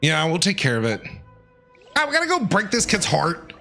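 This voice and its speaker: Male streamer, lively and forceful, playfully dramatic game reactions over faint music. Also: fairly high pitch, moderate pace, creaky. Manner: animated, talks back to characters, playfully dramatic. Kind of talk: live game reaction commentary.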